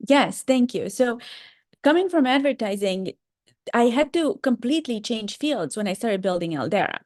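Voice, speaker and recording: A woman's voice: a little high, animated, normal speed, darkish tone, no echo, clean studio sound. A woman from Romania with a slight Romanian accent, giving a career talk to students and speaking earnestly, with many ums and restarts. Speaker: startup founder and CEO.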